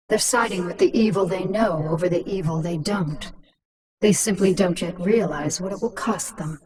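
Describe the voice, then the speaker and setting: A woman's voice: medium pitch, lightly acted. An actress playing a calculating, coolly mocking alien hive queen in a video game, imperious and composed. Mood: cold. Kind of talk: dramatic video game acting. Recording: distant voice, background crowd-like din.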